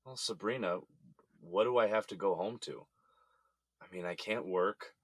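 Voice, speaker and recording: A man's voice: fairly low pitch, normal speed, breathy edge, no room sound. An actor playing a weary, blunt middle-aged businessman held in jail, in a naturalistic audio drama. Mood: resigned, stubborn. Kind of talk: naturalistic scripted drama dialogue.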